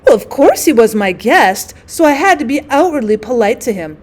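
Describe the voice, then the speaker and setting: A genteel society lady from a classic short story, acted out by a woman reader, haughty and drily witty. Her fairly high voice rises and dips freely as she recounts her grievances with wordy emphasis. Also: very animated, normal speed. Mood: indignant.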